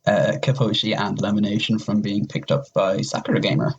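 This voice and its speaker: A man with a lowish voice talks casually on a podcast. He is blunt and loose with his language, and the recording has clear noise and clicks. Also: a little fast, highs cut off.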